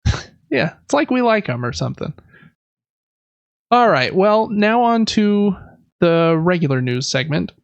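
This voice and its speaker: Guy podcast host, bit of vocal fry, keen explainer, dry slightly dull sound. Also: high voice, very animated, measured pace, long pauses, no room sound. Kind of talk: casual board game review chat.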